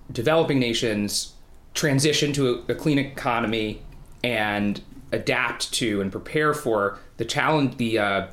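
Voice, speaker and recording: A man's voice: mid-range voice, a bit bright. Man explaining in an echoey room. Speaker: journalist, podcast panelist. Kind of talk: unscripted analytical discussion. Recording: echoey, roomy sound.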